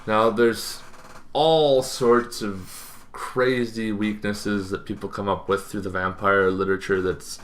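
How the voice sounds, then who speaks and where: A young man talking casually to camera, explaining things in an unscripted way with emphatic phrasing and pauses. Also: fairly low pitch, fairly even intonation. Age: young adult. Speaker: tabletop gaming video host. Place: small bedroom, indoors.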